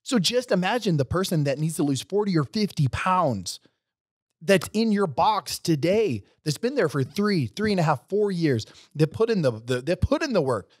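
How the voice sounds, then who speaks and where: A man's voice: high voice, very animated, moderate pace, dry recording. A man in his forties co-hosting a business podcast, talking emphatically like a coach giving a pep talk, on a studio mic in a gym. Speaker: podcast co-host. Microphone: studio mic on boom arm, headphones.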